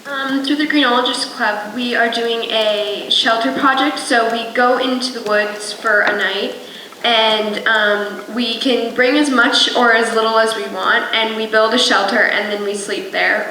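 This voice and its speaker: A teenage girl on a student panel, answering thoughtfully with many pauses. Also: a little high, steady tone, sharp tone, a little hiss or hum. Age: teens. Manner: thoughtful, hesitant with ums. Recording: echoey room, mic from a distance.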